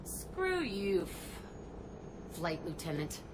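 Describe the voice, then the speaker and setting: Jack, a foul-mouthed tough biotic. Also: female voice, on the low side, very animated, extremely slow, noisy background, full character voice. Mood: brash. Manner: crude, mocking, tough. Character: brash tattooed woman biotic teacher, foul-mouthed.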